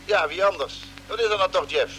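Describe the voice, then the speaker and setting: An actor playing a brusque, matter-of-fact spaceship navigator in a vintage radio drama. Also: male voice, high-pitched, fairly quick, pushed and bright, soft background music, low-quality recording. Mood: puzzled, impatient.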